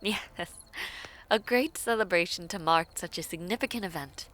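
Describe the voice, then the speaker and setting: A woman playing a poised, teasing, affectionate villainess princess in a fantasy romance audio roleplay, with formal courtly phrasing and a breathy, often near-whispered delivery. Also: on the low side, moderate pace, a bit bright, soft background music, close to an everyday voice. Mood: gracious, sociable.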